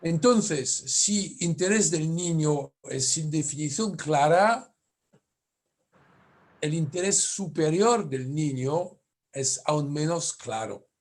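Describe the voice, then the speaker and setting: Man, French accent, native French speaker, lawyer lecturing, slow and careful, fairly high voice, corrects himself mid-word. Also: expressive. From France. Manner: careful, halting non-native speech with self-corrections. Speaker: family lawyer giving a lecture. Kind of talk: conference lecture.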